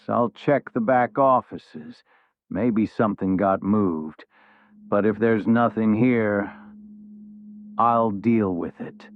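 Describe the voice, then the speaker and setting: A male noir enforcer, impatient and calculating. He speaks slowly with big swings of pitch and a coarse, defensive edge. The sound is muffled and narrow, like a phone line. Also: dry recording. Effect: muffled phone-like filter. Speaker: actor in a podcast drama. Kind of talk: noir audio drama acting. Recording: muffled, thin phone-like band.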